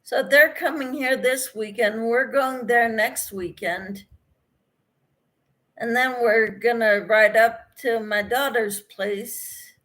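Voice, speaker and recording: Slow, chatty woman hosting a live chat. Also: fairly high pitch. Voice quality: speaks in a monotone, as her husband says. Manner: slow, chatty, laughs often. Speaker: host reading viewer comments. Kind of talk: casual livestream chat.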